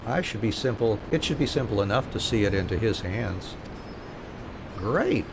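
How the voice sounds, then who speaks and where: A man's voice: fairly low pitch, normal speed, vocal fry. A man streaming a game, reading story dialogue aloud and chatting casually. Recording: steady noise behind the voice.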